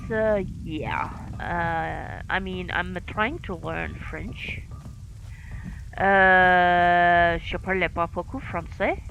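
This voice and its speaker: A woman streamer with a light Finnish accent talks through her play session at an unhurried pace. She pauses often, filling the gaps with fillers as she thinks aloud. A faint hiss sits under her home recording. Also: lowish voice, bright, forceful tone, low-quality recording. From Finland. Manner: halting, many fillers, thinking aloud while playing. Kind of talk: live game stream commentary. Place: home in France.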